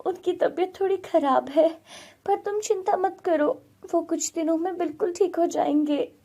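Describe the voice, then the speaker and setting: A woman's voice: very high-pitched, normal speed, mellow, voice pushed up. A woman voicing a worried, dutiful young village wife in an earnest, anxious story style. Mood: anxious, reassuring.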